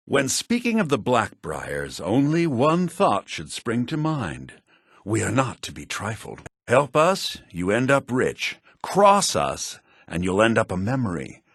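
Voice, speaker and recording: A male actor playing a brusque, self-assured townsman in a fantasy video game, with a husky voice and a theatrical, deliberate delivery. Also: medium pitch, no room sound, clean studio sound, full character voice. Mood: haughty, menacing. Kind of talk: fantasy role-playing game character dialogue.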